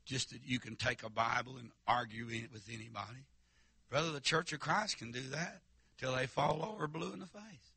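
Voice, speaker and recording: A man preaching a fiery holiness sermon, with stammering restarts and shouts to the congregation. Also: medium pitch, fairly quick, slightly rough. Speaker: pastor. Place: church sanctuary.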